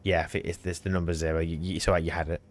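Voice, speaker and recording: A man's voice: low voice, level tone, very fast. A man chatting on a live game stream, teasing his partner and explaining steps as they play. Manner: teasing, talkative, explains while playing. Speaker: co-host streamer. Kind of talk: casual live-stream chat.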